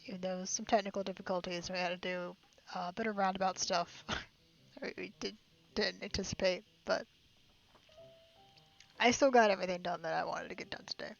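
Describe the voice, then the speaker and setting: A woman's voice: on the low side, steady tone, fairly quick, creaky, pauses often, highs cut off. A woman chatting casually and a little giggly as she livestreams a video game. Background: game music.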